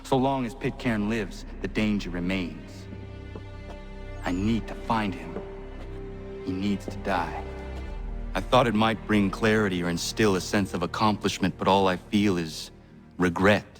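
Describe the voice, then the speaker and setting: A resolute young assassin in a game cutscene, earnest and tightly controlled. His lowish voice stays even and sincere over quiet music. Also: unhurried, long pauses. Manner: earnest, restrained.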